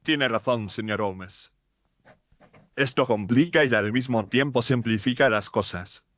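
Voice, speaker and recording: A man's voice: mid-range voice, measured pace, a bit bright, no echo, close to an everyday voice. A man playing a stern police inspector in an audio drama, formal and authoritative. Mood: conceding. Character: stern, official male police inspector, by the book. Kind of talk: dramatized audio story acting.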